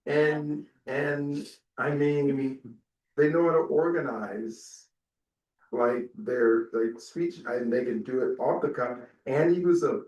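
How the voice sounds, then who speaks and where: A man's voice: medium pitch, takes it slowly, a little mellow, highs cut off. A man on a discussion panel, speaking hesitantly with restarts. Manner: hesitant, many restarts and fillers. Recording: roomy hall, speaker far from mic.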